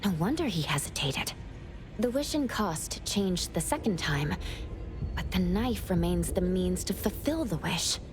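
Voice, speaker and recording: A voice actress playing a composed, analytical young female investigator in a video game, reasoning aloud in a measured, serious way. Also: fairly low pitch, lively intonation, over music. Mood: pensive. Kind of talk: video game character acting.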